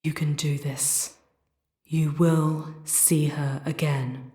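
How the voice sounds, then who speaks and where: A woman voicing a charming, protective female demon in an ASMR roleplay, speaking theatrically and intensely in hushed, drawn-out phrases that often slip toward a whisper. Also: low voice, little pitch movement, breathy edge, dry recording, clean studio sound, full character voice. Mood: tender, coaxing.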